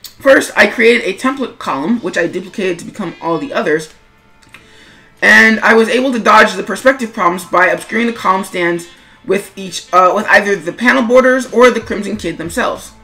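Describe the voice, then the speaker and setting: A woman's voice: mid-range voice, animated, moderate pace, sharp tone, low-quality recording. Woman narrating chattily over music. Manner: chatty, wry asides, rhetorical questions to the listener. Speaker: webcomic creator and narrator. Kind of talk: semi-scripted creator commentary.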